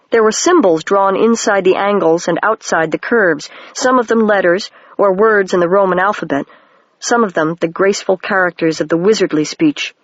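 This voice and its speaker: An audiobook reader narrating a children's fantasy novel in a steady, even storybook style, on a dry, dull lo-fi home recording. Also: mid-range voice, no echo.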